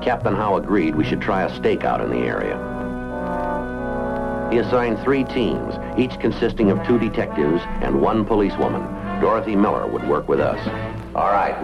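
Stoic police detective: extremely low voice, clipped deadpan delivery, muffled old-time radio sound. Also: male voice, vocal fry. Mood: businesslike. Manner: clipped, deadpan, matter-of-fact. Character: stoic, methodical male police detective sergeant. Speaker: lead actor and narrator. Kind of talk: terse police radio drama narration and dialogue.

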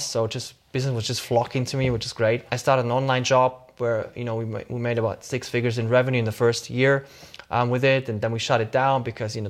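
A middle-aged Swiss man talks as an interview guest, his voice at an ordinary middle pitch. He rambles through his answers, full of fillers, repeated words and quick self-corrections. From Switzerland. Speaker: guest, startup CEO.

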